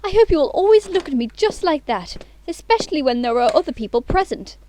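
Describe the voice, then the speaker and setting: A woman's voice: sits high, big pitch swings, fairly quick, noisy background. A woman playing a self-assured, romantic young upper-class lady in a theatrical audio drama, with an affected, coquettish manner. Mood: adoring, coquettish.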